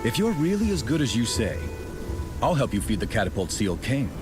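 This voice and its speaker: A man acting a stern, gruff leader of a guard corps in a video game cutscene, formal and skeptical in manner. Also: medium pitch, very animated, fairly quick, close to an everyday voice. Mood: commanding, skeptical. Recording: music behind the voice.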